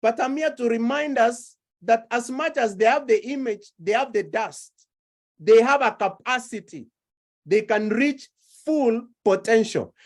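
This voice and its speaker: A woman preaching a sermon, slow and deliberate, building her points through repeated phrases. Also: fairly high pitch, animated, a little mellow.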